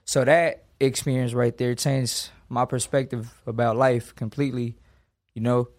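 Young streetwise boxer from Los Angeles. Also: male voice, medium pitch, flat delivery, measured pace, darkish tone. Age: 20s. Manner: streetwise slang, emphatic. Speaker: boxer guest. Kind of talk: casual interview.

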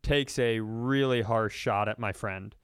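A man's voice: fairly low pitch, a little slow, smooth, dry recording, clean studio sound. A man talking as a comedian podcast host in casual banter, rambling and riffing with plenty of swearing. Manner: rambling, riffing, profane storytelling.

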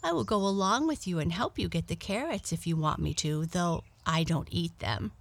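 A woman's voice: lowish voice, animated, normal speed, creaky, dry recording. A woman voicing a polite, adventurous female cat in a children's storybook, kindly, earnest and a little homesick.

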